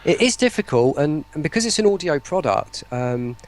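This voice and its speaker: Man in casual, halting interview chat. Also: on the high side, big pitch swings. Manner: hesitant, repeats words mid-sentence. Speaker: interview guest, voice pack producer.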